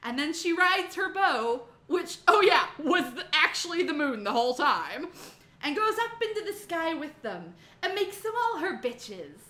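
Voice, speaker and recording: A woman's voice: high voice, sing-song, a little slow, pushed and bright, roomy sound. A woman giving a sassy, emphatic dramatic reading of a humorous story, drawing out words for comic effect. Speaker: amateur reader of a humorous myth retelling. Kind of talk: animated dramatic reading with comic, profane narration.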